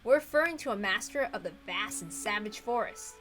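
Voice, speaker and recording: A woman reading a visual novel aloud as expressive scripted narration. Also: mid-range voice, a little fast. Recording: music playing behind the voice. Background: background music.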